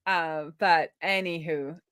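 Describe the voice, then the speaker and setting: A woman's voice: lowish voice, steady tone, slow pace, fairly bright tone, clean tone, dry recording, studio quality. A woman hosting a casual coding livestream, thinking aloud informally as she works. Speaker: livestream host, web developer. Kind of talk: casual live coding commentary.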